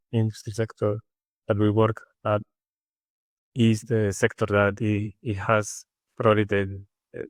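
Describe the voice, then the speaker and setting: A man from Spain with a Spanish accent, answering interview questions in a halting way with many fillers and restarts. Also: fairly low pitch, clean tone, dry recording. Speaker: interview guest. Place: studio. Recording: dry studio sound.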